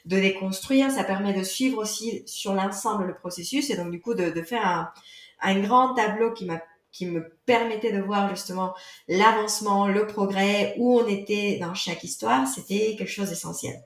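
A woman for whom French is not her first language, answering podcast questions as an author. She talks in halting phrases, often stopping and starting over. The sound carries a noticeable room echo. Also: medium pitch. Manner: hesitant, many fillers and restarts. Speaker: guest author. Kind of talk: podcast interview.

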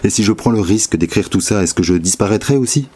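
Journaliste grave, voix très basse. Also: male voice, lively intonation, darkish tone, husky. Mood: bitter, resigned. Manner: solemn, measured, literary. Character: Andreï, grave, disillusioned male journalist confessing truth. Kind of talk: audio drama monologue.

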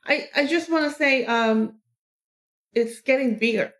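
A woman from South Korea with a Korean accent, a native Korean speaker answering interview questions casually and haltingly, searching for words. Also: sits high, fairly bright tone. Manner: halting, searching for words in second-language English. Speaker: interview guest, plus-size model.

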